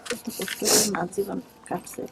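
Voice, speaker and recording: A woman's voice: mid-range voice, flat delivery, normal speed, gravelly. A woman with a rural Bangladeshi accent, recalling family life in casual talk, with some background noise. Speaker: guest, relative at a family reunion.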